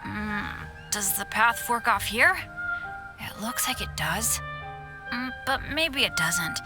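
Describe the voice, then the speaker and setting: Game role: earnest boy adventurer, mid-pitched, very slow and unsure, good-natured. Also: lively intonation, music in the background. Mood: unsure, groggy. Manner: hesitant, earnest. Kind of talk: scripted game voice acting.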